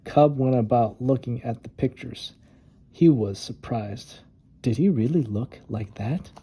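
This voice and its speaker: Man, very mellow dark tone, children's storybook narrator, expressive, dramatic emphasis. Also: medium pitch, unhurried, husky. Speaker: storytime reader.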